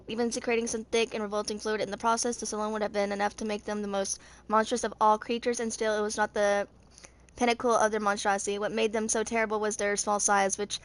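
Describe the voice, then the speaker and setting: A woman in her twenties reading game lore aloud in a halting, stumbling way, as an amateur video creator. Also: mid-range voice. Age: 20s.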